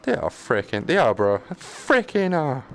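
A man's voice: mid-range voice, wildly swooping pitch, a little slow, fairly bright tone. A man chatting casually on a live game stream, joking in a slangy, sweary way. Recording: clear background noise.

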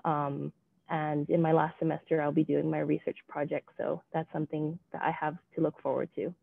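A woman in her twenties from Hong Kong, introducing herself on a video call in a relaxed, steady way with frequent ums. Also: deep voice, moderate pace, creaky, husky, no room sound. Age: 20s. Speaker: panelist. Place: small room indoors. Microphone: earbuds on a video call. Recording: tinny, phone-like call audio.

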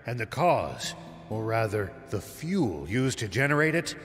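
Grave old soldier in a cavernous hall. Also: male voice, fairly low pitch, sing-song, unhurried, a bit bright, faint music behind. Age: older. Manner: grave, commanding, urgent. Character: stern, grave old military veteran man. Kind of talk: dramatic video game voice acting.

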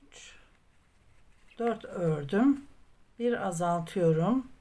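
Slow-paced woman giving craft instructions. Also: fairly low pitch, expressive, dark tone. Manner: patient, methodical instruction, counting aloud. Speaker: craft tutorial host. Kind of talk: step-by-step crochet tutorial narration.

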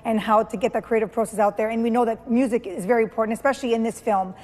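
A woman hosting a TV interview from a studio, chatty and halting, with frequent fillers. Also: fairly high pitch, steady tone, darkish tone, long reverb tail. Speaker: TV host.

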